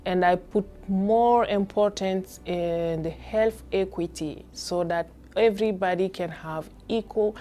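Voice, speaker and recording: A woman's voice: mid-range voice, measured pace, few pauses, warm tone. A woman with a non-native English accent, explaining her views earnestly in a personal testimonial. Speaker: clinician.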